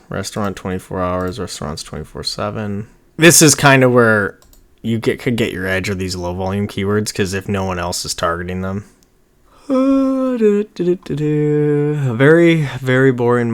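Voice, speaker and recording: Low-voiced man, rambling casual tutorial. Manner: rambling, informal, muttering to himself while working. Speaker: online marketing tutorial host. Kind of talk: casual screen-share tutorial, thinking aloud. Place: small indoor room at a computer.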